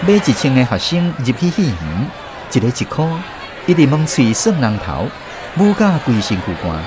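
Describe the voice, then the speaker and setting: Actor as male storyteller, Taiwanese accent, medium pitch, animated intonation, reflective, conversational radio drama narration, music behind. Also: moderate pace, crowd noise, background noise, lightly acted. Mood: reminiscing. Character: reflective male storyteller recalling his youth. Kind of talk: scripted first-person radio drama narration.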